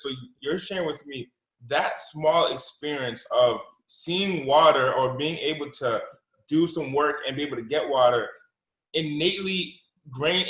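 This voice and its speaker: A man hosting an interview, enthusiastic and repeating his points with halting restarts. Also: a little high, a bit bright. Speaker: podcast host. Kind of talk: interview conversation. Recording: roomy echo.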